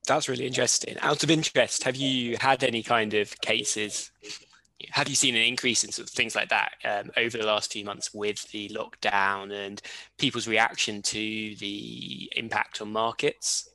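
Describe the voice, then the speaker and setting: Guy hosting an interview, lowish bright voice, dry sound, asks stuff politely. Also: steady tone, normal speed, no room sound, poor audio. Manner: curious, polite questioning. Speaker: interviewer, host. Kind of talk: remote interview.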